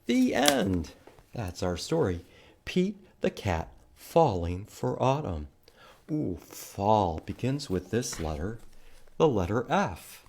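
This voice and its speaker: A man hosting a children's storytime, reading a picture book and teaching letters in simple, expressive language with questions for young listeners. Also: fairly low pitch, takes it slowly, creaky. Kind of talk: children's storytime read-aloud and letter lesson.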